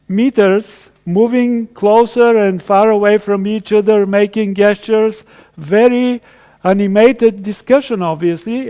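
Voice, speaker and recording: A man's voice: high-pitched, a little mellow. A man from Bulgaria in his fifties giving a conversational technical tutorial lecture, explaining with everyday stories. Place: conference hall. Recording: long hall reverb.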